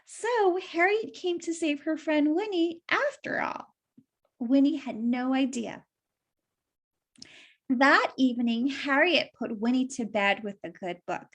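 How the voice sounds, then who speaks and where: High-pitched woman reading to children. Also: lively intonation, slow, muffled recording. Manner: explanatory, addresses children simply. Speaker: children's author reading aloud and presenting. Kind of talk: children's story read-aloud and explanation.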